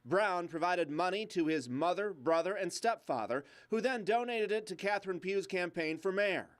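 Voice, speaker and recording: A man's voice: high voice, lively intonation, normal speed. A man with an American accent delivering a scripted investigative news report.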